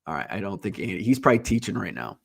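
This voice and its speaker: A man's voice: on the low side, very animated, rapid-fire, vocal fry. A congested man hosting a livestream, talking in a casual, rambling, blunt way and swearing freely. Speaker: livestream host. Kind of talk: casual solo chat.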